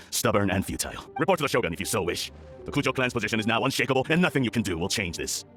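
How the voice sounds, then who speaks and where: A man's voice: fairly low pitch, lively intonation, rapid-fire, a bit bright, soft background music. An actor playing a proud, scheming middle-aged male clan lord in a video game, pompous and theatrical. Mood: scornful. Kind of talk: dramatic video game acting.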